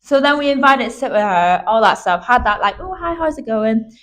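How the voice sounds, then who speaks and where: A young woman in her twenties hosting her own podcast, chatting casually with lots of "like" fillers and bursts of quick talk. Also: fairly high pitch, animated, fairly bright tone. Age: 20s. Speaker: podcast host. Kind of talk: casual chat.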